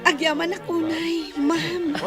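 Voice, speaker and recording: A woman's voice: very high voice, flat delivery, slow, over music. A woman playing a sweet, grateful young graduate in a radio drama, with an Ilocano accent. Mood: grateful, tender.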